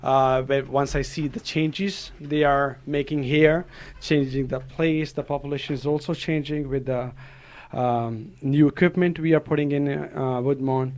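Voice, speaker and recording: A man in his thirties from Pakistan, a doctor guesting on a radio show, speaking in an earnest, halting way with many fillers. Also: mid-range voice, steady tone, a little mellow. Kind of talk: casual interview talk. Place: radio studio.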